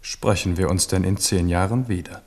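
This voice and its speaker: A man's voice: deep voice, fairly even intonation, moderate pace. An actor playing a jovial, self-assured king of France in a radio play, hearty and prone to laughter. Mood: confident. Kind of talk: 1970s radio play acting.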